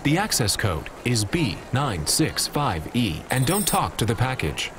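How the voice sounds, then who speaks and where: A curt clerk, lively intonation. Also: male voice, mid-range voice, unhurried. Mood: curt, weary. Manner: curt, dry. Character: curt, weary male parcel clerk. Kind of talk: video game voice acting. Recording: noisy outdoor vehicle ambience.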